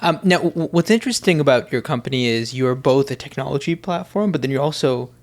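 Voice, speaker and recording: A man hosting a podcast, asking interview questions in a relaxed, hesitant way with fillers. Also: medium pitch, quick pace. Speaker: podcast host.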